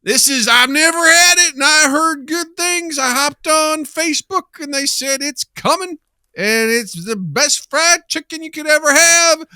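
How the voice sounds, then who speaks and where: A man voicing an overexcited, gullible fast-food fan in a whiny, drawn-out, mocking exaggeration on a comedy podcast. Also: very high-pitched, pushed and bright, dry recording, clean studio sound, pitched above the performer's own voice, exaggerated voice. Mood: mock excitement.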